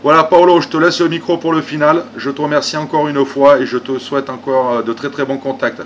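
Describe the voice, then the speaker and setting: A man's voice: a little high, little pitch movement, fairly quick, a little hiss or hum. Repetitive, polite man chatting on ham radio. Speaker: amateur radio operator. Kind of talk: casual ham radio conversation.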